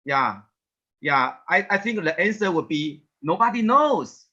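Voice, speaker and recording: A man giving a webinar presentation over a video call, talking haltingly with many "yeah" fillers and restarts. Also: fairly high pitch, big pitch swings, sharp tone, slightly rough, highs cut off. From Taiwan. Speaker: guest presenter.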